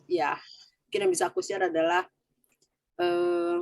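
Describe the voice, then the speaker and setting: A woman in her forties sharing personal stories in a casual group chat over a video call, lively, chatty and quick to laugh. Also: medium pitch, gravelly, no echo. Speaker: guest sharing her story.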